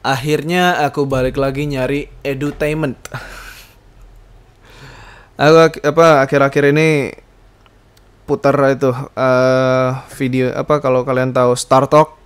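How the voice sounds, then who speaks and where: A man's voice: medium pitch, little pitch movement, unhurried, pauses often, smooth. A man hosts a casual, rambling solo podcast, hesitantly searching for words and slipping in slang and English. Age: 20s. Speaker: podcast host.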